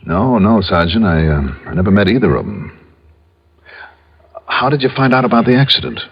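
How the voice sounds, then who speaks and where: An American actor playing a cool, observant insurance investigator in a classic radio crime drama, with a clipped, probing way of talking. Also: male voice, on the low side, very animated, normal speed, gravelly, slightly rough, full character voice. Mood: thoughtful. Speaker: lead character and narrator of radio detective series. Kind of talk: classic American radio drama acting and first-person narration. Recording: noisy, muffled old radio recording.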